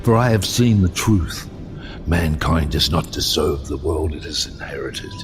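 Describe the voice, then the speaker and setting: Deep, slow, brooding sea captain. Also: male voice, over music. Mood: grim doom. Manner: grand, theatrical, deliberate oratory dropping to hushed intensity. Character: brooding, bitter, vengeful sea captain condemning mankind. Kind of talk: dramatic audio drama monologue.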